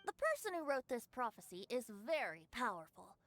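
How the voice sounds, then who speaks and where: A woman's voice: a little high, big pitch swings, moderate pace, a bit bright. A woman playing a carefree, teasing boyish bard in a video game, breezy and mock-dramatic. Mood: teasing, smug. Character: playful teasing carefree boyish male bard, fond of wine. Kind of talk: video game character acting.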